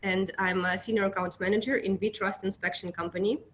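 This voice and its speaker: A woman's voice: medium pitch, fairly even intonation, fairly quick, fairly bright tone. A young woman from Russia with a Russian accent, presenting a business webinar as an account manager, speaking hesitantly with many fillers and restarts.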